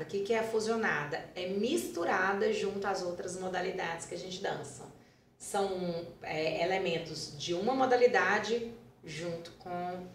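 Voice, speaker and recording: A woman's voice: lowish voice, reverberant, a little hiss or hum. A woman with a Brazilian Portuguese accent, a dance school founder and teacher, telling stories in a casual podcast interview and repeating words for emphasis.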